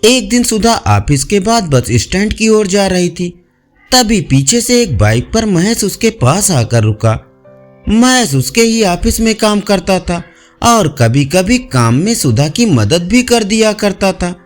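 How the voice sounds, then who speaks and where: A man narrating a scripted audio story with measured, expressive storytelling delivery. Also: sits high. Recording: music bed behind voice. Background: background music.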